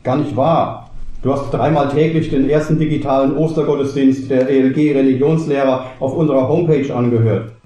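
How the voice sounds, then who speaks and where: A pedantic male teacher acted by a man in a comedy audio play. The voice is extremely dark and muted in tone, dry and deliberate, sounding in a roomy space. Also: medium pitch, fairly even intonation, natural voice. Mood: matter-of-fact. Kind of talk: scripted cabaret audio play.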